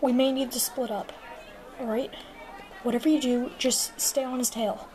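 A woman's voice: high-pitched, steady tone, normal speed, noisy background, natural voice. A woman voicing a chatty, polite young dog character searching for a friend, in a homemade toy-figure drama. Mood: flustered.

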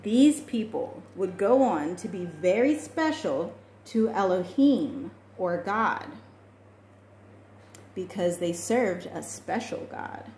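A woman's voice: mid-range voice, expressive, slow pace, a little mellow. Young woman reading a storybook, echoey room. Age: young adult. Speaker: children's book reader. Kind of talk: storybook read-aloud. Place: small indoor room at home. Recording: echoey room with faint noise.

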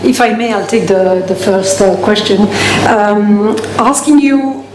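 A woman's voice: mid-range voice, a little slow, reverberant, background noise. A woman moderating a question session, speaking hesitantly with many fillers. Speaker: event moderator. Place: club function room, indoors.

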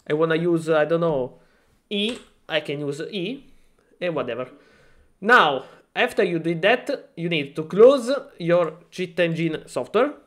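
Italian man, halting English tutorial. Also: on the high side. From Italy. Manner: hesitant, many fillers and restarts, self-deprecating. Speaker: gaming tutorial maker. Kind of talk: casual screen-recorded tutorial.

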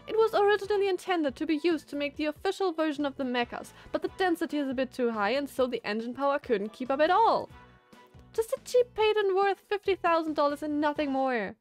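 Woman playing a fiery, high-pitched bossy young scientist. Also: animated, a little fast, faint music behind. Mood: indignant boasting. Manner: indignant, emphatic.

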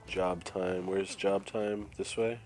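A man commentating casually while playing a prison game, his voice raspy and nasal from a cold, thinking aloud as he plays. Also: sits low, flat delivery, slow, a little mellow. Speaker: gameplay commentator. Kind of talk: casual let's-play commentary. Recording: faint game music behind.

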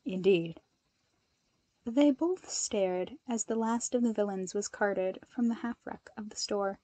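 A woman narrator with an expressive storytelling style. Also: on the high side, measured pace, no echo. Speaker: fan fiction narrator.

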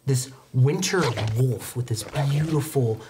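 A man in his thirties narrating a tabletop roleplaying game as game master, improvising descriptions haltingly. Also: medium pitch, animated, noisy background. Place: indoor studio set, behind a game master screen.

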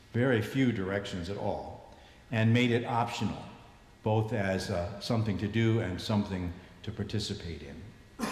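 A man in his sixties, a bishop giving a measured, reflective sermon in a large church sanctuary, his voice falling low at the ends of phrases. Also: a little mellow. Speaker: bishop preaching. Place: large church sanctuary, standing before the altar. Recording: echoey church with long reverb.

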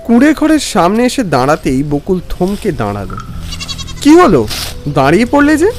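A man voices a polite, hesitant townsman narrating a cartoon tale. His pitch is high and swings widely as he moves between dramatic narration and dialogue. Music plays clearly behind him. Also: moderate pace. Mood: wondering, then hesitant, puzzled. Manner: expressive, dramatic, earnest. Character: polite, hesitant townsman recounting a strange afternoon. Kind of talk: scripted story narration with acted dialogue.

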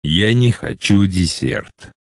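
A man slowly reading out short phrases for a language lesson, with careful, deliberate pronunciation. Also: sits low, dry recording, studio quality. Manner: slow, deliberate enunciation. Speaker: Russian phrase reader. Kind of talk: slow scripted phrase reading.

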